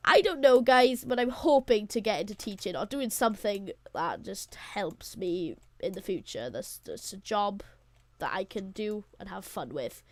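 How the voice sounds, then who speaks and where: A woman's voice: medium pitch, expressive, normal speed, dry recording. A Welsh teenage girl, whose first language is Welsh, chatting casually to her viewers as co-host, rambling and giggling between thoughts. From Wales. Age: teens. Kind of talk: casual chat.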